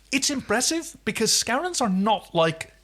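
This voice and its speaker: A man hosting a podcast, recapping a TV episode in casual, teasing and animated chat. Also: very high voice, slow. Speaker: podcast host.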